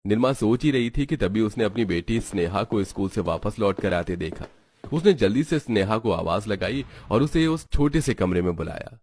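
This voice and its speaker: A man narrating a cartoon moral story in a steady, even storytelling voice. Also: lowish voice, moderate pace, slight background noise.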